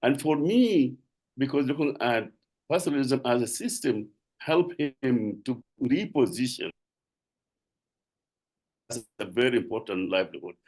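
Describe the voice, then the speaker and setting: A man from South Sudan with a South Sudanese accent, giving a halting, earnest tribute as a panelist on a video call. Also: medium pitch, expressive, darkish tone, low-quality recording. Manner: halting, restarts phrases, earnest. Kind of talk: tribute speech on a webinar.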